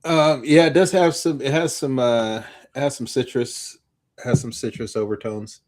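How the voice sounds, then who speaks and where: A man's voice: medium pitch, fairly even intonation, normal speed, darkish tone. A man co-hosting a casual live podcast, talking in a loose, rambling way and correcting himself as he explains. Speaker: podcast co-host.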